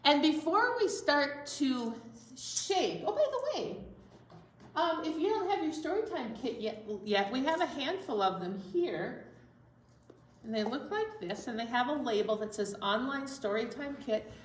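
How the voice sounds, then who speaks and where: A woman with a high, very lively voice chats to small children as a storytime host. She is encouraging and casual, heard a little far off in an echoey room. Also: unhurried. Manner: chatty, encouraging. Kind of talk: casual chat addressed to young children. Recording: echoey, distant, noisy room.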